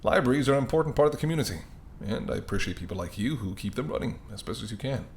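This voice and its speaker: A man acts out a mafia boss in an intimate audio roleplay, with a mid-pitched male voice. He is courteous and apologetic yet dryly sardonic, talking in a conversational way straight to the listener. A clear rumbling noise sits behind the voice. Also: lively intonation, very fast. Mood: apologetic, charming. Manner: conversational, sardonic, exasperated, softening into polite charm.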